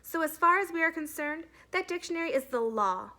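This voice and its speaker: A woman's voice: very high voice, animated, a little fast, bright, forceful tone, pitched above the performer's own voice, full character voice. A woman voicing a stern, authoritative female schoolteacher in a storybook, lecturing with emphasis.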